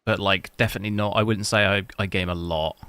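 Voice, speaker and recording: A man streaming a game, chatting casually with rambling, halting restarts. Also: lowish voice, fairly even intonation, sharp tone, clean tone, no echo. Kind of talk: casual live stream chat.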